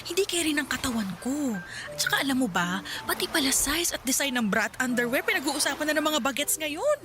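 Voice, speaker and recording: A woman's voice: high voice, lively intonation, a little fast, over music. An actress with a Filipino accent playing a chatty, liberated older girlfriend in a radio drama. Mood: chatty, bored. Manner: chatty, complaining, colloquial. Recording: clear background noise.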